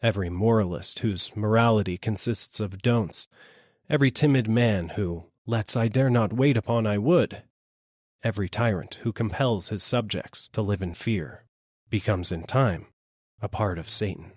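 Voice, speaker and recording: A man's voice: fairly low pitch, moderate pace, dry recording, clean studio sound. A man reading a literary story aloud as a scripted audiobook narration. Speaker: audiobook reader.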